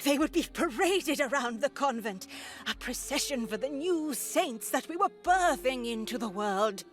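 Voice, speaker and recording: A woman's voice: sits high, animated, normal speed, faint music behind. A woman playing an older nun in a visual novel, grandly pious and unsettlingly zealous, with formal, theatrical preaching diction. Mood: fervent. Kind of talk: dramatic video game acting.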